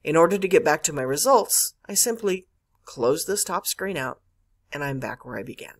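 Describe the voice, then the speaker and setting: A woman's voice: low voice, expressive, normal speed, breathy edge. A woman narrating an instructional screencast as a librarian, explaining step by step with emphasis on key words. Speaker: college librarian giving a catalog tutorial. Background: screen-recorded voice-over.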